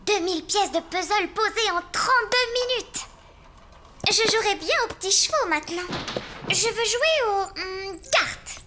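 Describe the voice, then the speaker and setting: A woman's voice: very high-pitched, expressive, measured pace, bright, forceful tone, slight background noise, exaggerated voice. A woman voicing a squabbling, demanding young animal girl in a children's cartoon, whiny and insistent. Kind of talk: children's cartoon dubbing in French.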